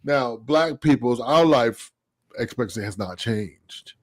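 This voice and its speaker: A man's voice: mid-range voice, measured pace, dry recording, studio quality. A man hosting a live-streamed talk show, blunt and emphatic, and he swears freely. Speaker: host.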